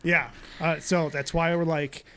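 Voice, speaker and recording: A man's voice: on the high side, flat delivery, a little slow. A middle-aged man hosting a casual talk show, speaking with intensity and a big voice. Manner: intense, loud, talks with big energy. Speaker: podcast host. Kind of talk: casual chat.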